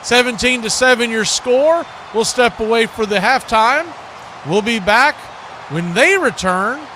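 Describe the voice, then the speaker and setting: A man's voice: very high-pitched, expressive, measured pace, bright, forceful tone, a little hiss or hum. A man giving live play-by-play commentary on a football game.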